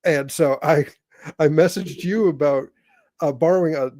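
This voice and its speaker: An American man hosts a relaxed chat show. His voice sits a little high, his delivery is halting and rambling, and the sound is dry. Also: no room sound. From Indiana, United States. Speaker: podcast host. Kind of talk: casual interview chat.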